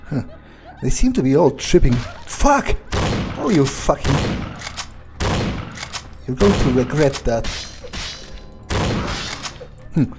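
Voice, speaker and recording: A man's voice: fairly high pitch, big pitch swings, slow pace, pauses often, full character voice. A man playing a crude, cocky, irritable male vampire in a game, muttering profane asides to himself. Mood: confrontational. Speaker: game player in character. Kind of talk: improvised in-character game playthrough.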